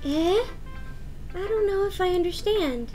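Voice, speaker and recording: Cheerful teenage girl, extremely high voice, cutesy, earnest, clumsy, visual novel character, music behind. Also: animated, a little slow, warm tone, poor audio.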